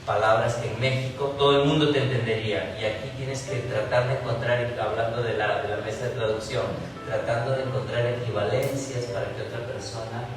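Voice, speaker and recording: A man's voice: medium pitch, steady tone, a little fast, hardly any pauses, heavy reverb, distant mic, music in the background, poor audio. A man from Peru, an author on a literary panel, talking in a reflective, thinking-aloud way with frequent restarts. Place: large university hall.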